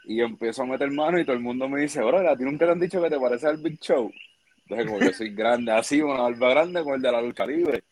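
A Puerto Rican man in his twenties, a baseball pitcher, chatting casually in an interview with a relaxed, laid-back manner. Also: fast talker, a little hiss or hum, low-quality recording. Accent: Puerto Rican Spanish. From Puerto Rico. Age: 20s.

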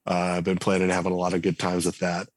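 Guest: low-voiced man, husky, thoughtful and hesitant, dry close-miked read. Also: completely flat delivery, quick pace, dry recording. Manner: reflective, hesitant with frequent fillers. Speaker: game designer and writer, podcast guest. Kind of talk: casual interview talk.

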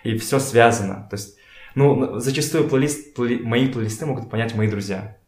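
A man talking casually as a podcast guest, telling things in a halting, slangy way and often repeating words. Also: mid-range voice, darkish tone. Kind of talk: casual conversational storytelling. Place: in a room at a home. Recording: echoey room.

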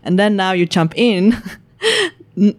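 A woman's voice: medium pitch, takes it slowly. A young woman co-host raised in Hungary, talking thoughtfully in a casual interview with slightly non-native grammar.

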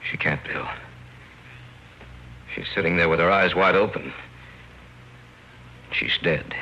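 An actor playing a courteous, concerned family friend in an old-time radio drama. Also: male voice, deep voice, slow pace, noisy background. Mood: grave. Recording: muffled old radio recording with music.

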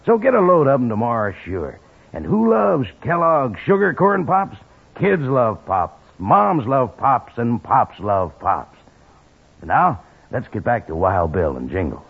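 A man's voice: mid-range voice, very animated, a little slow, gravelly, husky. An American man doing a folksy, enthusiastic 1950s radio sponsor announcement in chummy cowboy patter. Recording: tinny old radio broadcast.

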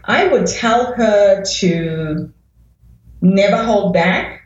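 A woman from Africa, an inspirational speaker, answering interview questions in an earnest, deliberate way. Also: mid-range voice. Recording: echoey, dull-sounding remote line.

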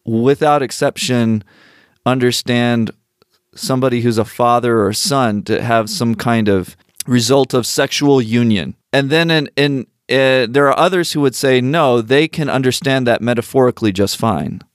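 Male interviewer, calm and probing, smooth resonant voice, dry close sound. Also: mid-range voice, moderate pace, no echo. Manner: calm, probing, summarizes opposing arguments. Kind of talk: measured interview questions.

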